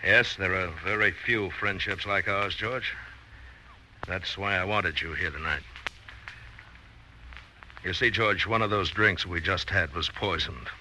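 An actor playing a controlled, outwardly friendly man hunting his blackmailer, deliberate and pointed, in an old radio drama. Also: sits low, bright, forceful tone, heavily acted. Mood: quietly menacing. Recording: faintly noisy, thin old radio recording.

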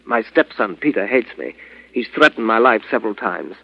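Gravelly, secretive radio-play doctor. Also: male voice, on the low side, animated, normal speed, no echo. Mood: uneasy, defensive. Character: secretive male doctor and stepfather, defensive under suspicion. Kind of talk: 1940s radio drama acting. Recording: dull vintage radio recording.

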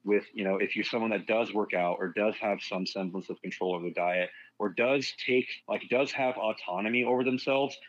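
A man's voice: on the low side, brisk, few pauses, dry recording, lo-fi sound. A man talking as a fitness guest on a casual podcast, frank and rambling, swearing freely.

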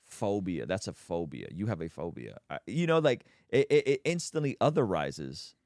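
A man's voice: low voice, moderate pace, no room sound, clean studio sound. A man from Azerbaijan hosting a podcast, telling stories in casual conversation with lots of restarts. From Azerbaijan, Armenian family. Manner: animated storyteller, many restarts. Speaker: podcast host.

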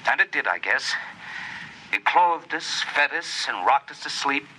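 A defensive American husband and father in a 1950s radio play. His voice is piercingly bright and very animated, on an old recording with slight noise. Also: mid-range voice, measured pace, low-quality recording. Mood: troubled, dazed. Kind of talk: 1950s radio drama acting.

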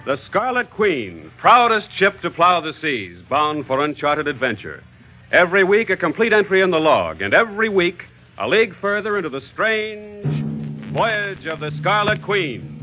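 A man's voice: sits high, sing-song, normal speed. A man announcing a radio serial in a grand, declamatory 1940s style. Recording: muffled vintage radio, loud music.

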